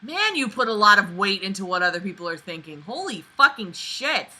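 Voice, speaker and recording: A woman's voice: medium pitch, very animated, a little fast, bright, forceful tone. A young woman reading a book aloud casually with sarcastic, exasperated, sweary running commentary. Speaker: online book reader and commentator.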